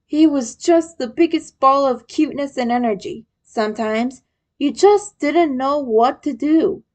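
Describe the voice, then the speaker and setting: A woman's voice: high voice, measured pace, darkish tone. A woman reading a scripted story aloud as a narrator, addressing the listener as the main character. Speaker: fan fiction reader.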